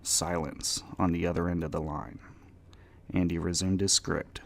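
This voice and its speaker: A man's voice: low voice, steady tone, moderate pace, vocal fry, no room sound. A man narrating fiction as a dramatic scripted audiobook reading.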